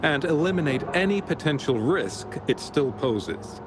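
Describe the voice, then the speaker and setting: A man's voice: a little high, animated, a little slow, background noise. A man playing a composed, formal investigator in a video game, giving a reassuring official report. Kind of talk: video game character dialogue.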